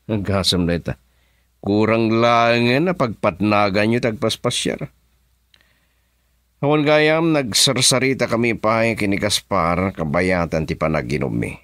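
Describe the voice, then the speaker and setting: A man's voice: fairly low pitch, unhurried, pauses often, fairly bright tone, dry recording, studio quality. A man with a Filipino accent acting a scheming, commanding male boss in a radio drama. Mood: defensive, curt.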